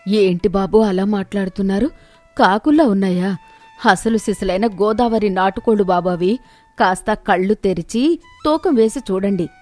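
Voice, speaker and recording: A woman's voice: medium pitch, normal speed, a little mellow, soft background music, close to an everyday voice. Woman playing an outspoken village farmer's wife. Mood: indignant. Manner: indignant, emotional.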